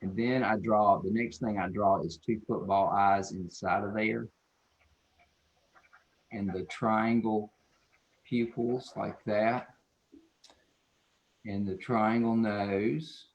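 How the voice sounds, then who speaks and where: A man's voice: lowish voice, fairly even intonation, takes it slowly, pauses often, muffled recording. A man walks through a drawing demonstration. He talks in a folksy, playful, casual way, like an illustrator chatting while he works. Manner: folksy, playful, self-described silly. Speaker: children's book illustrator.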